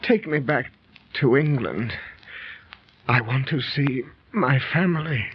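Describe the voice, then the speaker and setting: An actor playing a defiant British lord and archaeologist in a theatrical, declaiming 1930s radio style. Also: male voice, medium pitch, expressive, slow pace, slight background noise. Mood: weak, dying. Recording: muffled 1930s radio recording.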